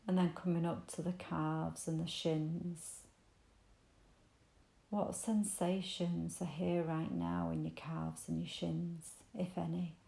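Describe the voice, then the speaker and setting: A woman leading a guided meditation in a gentle, soothing, invitational manner with unhurried pauses. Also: on the low side, little pitch movement, gravelly, roomy sound. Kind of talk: guided body scan meditation.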